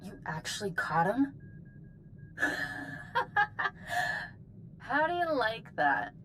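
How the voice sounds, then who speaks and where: A woman's voice: on the high side, very animated, slow pace, a bit bright, slight background noise, exaggerated voice. An actress playing a sneering, taunting female bounty hunter in a video game, with a mocking drawl.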